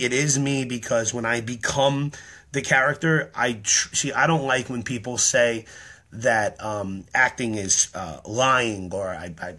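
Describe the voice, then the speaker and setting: Man in his thirties, a little hoarse and tired, rambling earnestly to a close webcam in a small room. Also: medium pitch, pushed and bright. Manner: earnest, rambling explanation with many ums. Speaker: online performer explaining his act. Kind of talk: casual talk to camera. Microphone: webcam or phone at close range.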